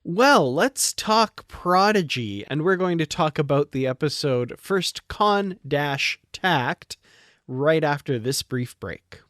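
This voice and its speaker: A man's voice: on the high side, animated, slow, a bit bright, no room sound. Guy podcast host, casual review chat, dry close mic sound. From Canada.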